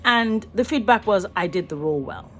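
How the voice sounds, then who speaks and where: A woman's voice: mid-range voice, lively intonation, brisk, slight background noise. A middle-aged Nigerian woman, an actor and voice artist, musing in a rambling, earnest selfie vlog from a small room, often stopping to rephrase herself. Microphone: phone at arm's length.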